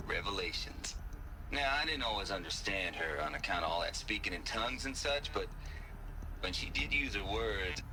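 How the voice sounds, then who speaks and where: An actor playing a cocky, folksy Texan hacker outlaw with a wry drawl in a video game cutscene. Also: male voice, on the low side, background noise. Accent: American South, Texan.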